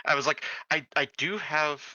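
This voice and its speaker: A man's voice: a little high, fairly even intonation, normal speed, bright, forceful tone, no echo, dull audio. A man playing a tabletop roleplaying game, chatting casually and hesitantly, often repeating words as he gets to his point.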